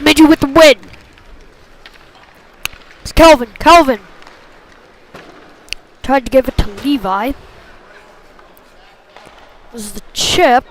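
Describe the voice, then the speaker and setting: A woman giving live hockey play-by-play in short clipped phrases, excitable on big plays. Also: high voice, slow pace, pauses often, a little hiss or hum. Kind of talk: live sports commentary.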